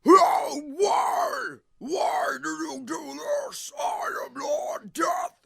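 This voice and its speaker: Shouting evil cartoon death lord. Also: male voice, extremely high pitch, sing-song, creaky, pitched above the performer's own voice, heavily acted. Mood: enraged, grandiose. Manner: shouting, strained, drawn-out grandiose delivery. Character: menacing, booming, enraged evil Lord Death. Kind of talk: comedic cartoon villain voice.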